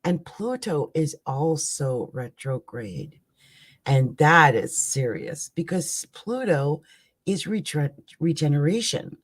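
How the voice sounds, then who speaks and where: Woman, raspy voice, live astrology host. Loose, conversational delivery with emphatic asides. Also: low voice, sing-song, takes it slowly. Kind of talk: casual live astrology chat.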